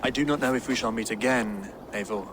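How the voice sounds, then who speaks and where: An actor playing a pious, serene deposed English king in a video game, speaking formally and with measured solemnity in an English accent. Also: medium pitch, background noise, natural voice. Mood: wry, quiet. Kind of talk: dramatic video game acting. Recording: game audio with music underneath. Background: music.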